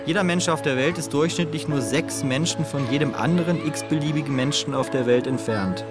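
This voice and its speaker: A man's voice: fairly high pitch, brisk. A man giving scripted explanatory narration. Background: loud music.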